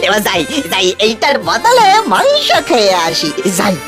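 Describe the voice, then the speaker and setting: Excitable, squeaky young ghost. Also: female voice, moderate pace. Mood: excited. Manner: stammering, excitable. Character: eager, greedy, bumbling young ghost. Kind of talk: children's cartoon voice acting. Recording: music behind the voice.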